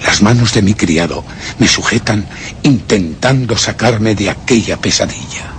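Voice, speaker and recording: A man with a Castilian accent playing a haunted, obsessive gentleman who narrates a horror radio play in a grave, suspenseful, theatrical way. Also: fairly low pitch, unhurried, slight background noise, natural voice. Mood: stunned dread. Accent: Castilian Spanish. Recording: dramatic music behind the voice.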